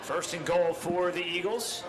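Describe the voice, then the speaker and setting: High-voiced play-by-play man. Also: fairly even intonation. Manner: brisk, factual play calling. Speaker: play-by-play commentator. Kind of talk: live high school football commentary. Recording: noisy live stream audio.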